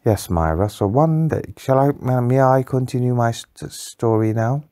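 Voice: mid-range voice, moderate pace, warm tone. An adult telling an improvised bedtime story to a child, patiently and in simple phrases.

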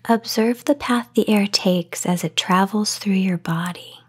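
Breathy, half-whispering woman guiding meditation. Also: mid-range voice. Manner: soothing, unhurried, long pauses. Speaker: meditation guide. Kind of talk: guided sleep meditation narration, soft-spoken. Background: voice only, no music or background noise.

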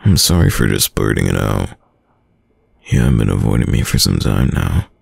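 A man playing a shy, smitten young student in an intimate boyfriend roleplay, deep-voiced, with a hesitant, stammering manner. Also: very animated, normal speed, creaky, husky, dry recording, studio quality, heavily acted. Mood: flustered. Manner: hesitant, stammering, nervous chuckles. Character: shy, smitten young male student gamer, a caring crush.

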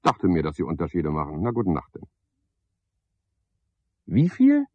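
Radio play: sardonic, pompous night caretaker, theatrical and very animated, dry, slightly dull vintage studio recording. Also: male voice, sits low, a little slow, breathy edge, no room sound, full character voice. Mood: sardonic, wistful. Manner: pompous, sardonic, theatrical one-sided conversation. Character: cynical, self-satisfied, talkative male night caretaker. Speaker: radio drama actor. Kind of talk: 1970s German radio play monologue.

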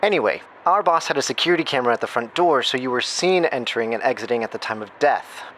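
A man's voice: mid-range voice, lively intonation, fast talker, a bit bright, lightly acted. Man acting a rambling, awkward hacker, faint noise. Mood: brisk, excited. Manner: rambling, flippant, self-interrupting. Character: chatty, awkward hacker friend leaving a secret recorded message. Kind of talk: horror audio drama acting.

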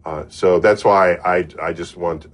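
A man's voice: sits low, steady tone, measured pace. A man hosting an interview, affirming his guest and joking often. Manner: affirming, laughs often, playful. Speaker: host.

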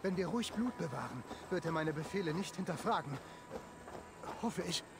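An actor playing a nervous, boastful, stuttering monk who poses as a pompous lord in a video game. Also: male voice, fairly high pitch, animated, normal speed, background noise. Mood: anxious, self-deprecating. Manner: stutters when nervous, blustering. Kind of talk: dramatic video game dialogue.

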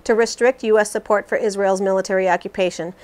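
A woman voicing a resolute, critical politician in a scripted interview re-enactment, formal and measured. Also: mid-range voice, steady tone, a little mellow, close to an everyday voice.

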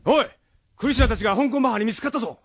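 An actor playing a brisk, pragmatic, reassuring resistance comrade in an anime audio drama. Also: male voice, very high-pitched, slight background noise. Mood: urgent. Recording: voice under music.

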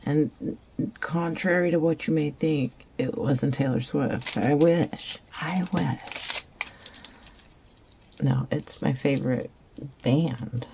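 Craft host, woman, hoarse and gravelly, casual self-mocking ramble, slight room hum. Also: a bass voice, mellow. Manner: rambling, self-deprecating, stammers and repeats. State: raspy from cheering at a concert. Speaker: resin craft channel host. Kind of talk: casual chat while crafting. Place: craft table indoors.

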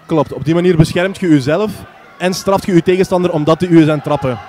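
A man's voice: fairly high pitch, quick pace, a little mellow. A man giving live kickboxing analysis as a co-commentator. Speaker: fight co-commentator.

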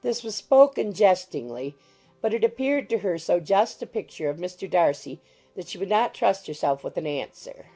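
A woman's voice: on the low side, dark tone. A woman reading scripted literary narration for an audiobook.

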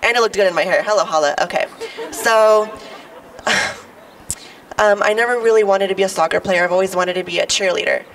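A young woman with a low voice, a little sick, performing a stand-up comedy monologue with playful, teasing asides to the crowd. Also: normal speed, a bit bright. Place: outdoors on building steps at a podium. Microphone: podium mic. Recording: distant outdoor mic, faint noise.

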